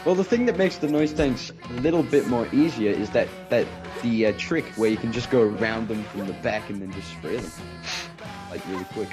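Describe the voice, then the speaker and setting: A man's voice: on the low side, a little fast. A man giving casual, conversational commentary during a group video game playthrough. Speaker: gaming commentator. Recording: game music behind voice.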